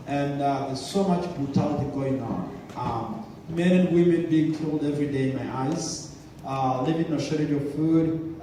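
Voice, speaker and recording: Rwandan man, mellow voice in echoey church. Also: medium pitch. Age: 30s. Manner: earnest, halting with many fillers. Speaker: guest speaker. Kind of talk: personal testimony in church. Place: church sanctuary. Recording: echoey hall from far off with faint noise.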